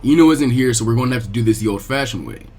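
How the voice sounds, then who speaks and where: A man voicing a gruff, wry grown male ninja teacher who smokes, in a fan-fiction story reading. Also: medium pitch, lively intonation, racing, a little mellow, voice pushed down. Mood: commanding, dry.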